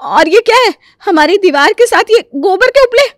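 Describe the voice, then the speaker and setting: A woman voicing an indignant, sharp-tongued married landlady who scolds and exclaims in a comic story. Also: very high-pitched, expressive, moderate pace, no echo, studio quality. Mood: outraged. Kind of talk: Hindi comic story dramatization.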